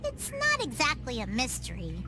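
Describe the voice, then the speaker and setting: A woman's voice: high-pitched, wildly swooping pitch, normal speed, low-quality recording. A woman voicing a quirky, confident young girl, playful and scheming, in a video game. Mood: playful, coy. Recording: music under the voice.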